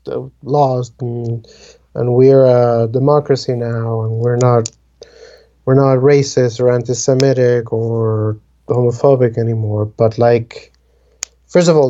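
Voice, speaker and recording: A man in his twenties from the Dominican Republic talks in a slow, unhurried way, with a soft, mellow tone. His casual chat wanders, full of "like" and "um". The sound is dull and lo-fi. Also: medium pitch. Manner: rambling, many fillers like "like" and "um". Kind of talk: casual conversation.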